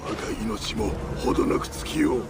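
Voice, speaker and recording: A man's voice: racing, over loud music, natural voice. An actor playing a dying, defiant male samurai lord speaking Japanese with bitter pride in a game cutscene. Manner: bitter, proud, shouting at the end.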